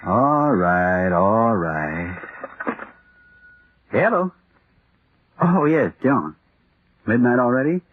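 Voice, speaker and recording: An actor playing a jaunty, chatty writer talking on the telephone in an old radio drama. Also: male voice, mid-range voice, sing-song, drawn-out words, pauses often. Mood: casual, amiable. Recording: noisy tinny vintage radio recording.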